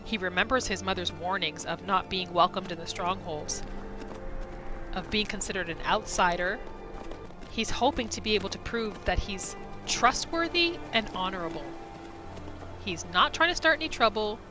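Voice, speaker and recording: A woman's voice: mid-range voice, normal speed. Woman narrating a game roleplay over music. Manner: speaks a character's thoughts as storytelling. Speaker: gaming roleplay host. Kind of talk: improvised third-person narration of video game play. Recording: music behind the voice.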